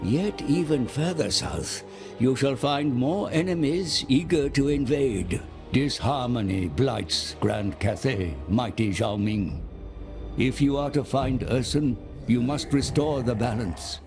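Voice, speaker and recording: A man's voice: mid-range voice, animated, slow pace, over music. A man playing a cunning seer who advises dragons and demons in a video game cinematic, grave, portentous and persuasive. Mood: portentous, solemn.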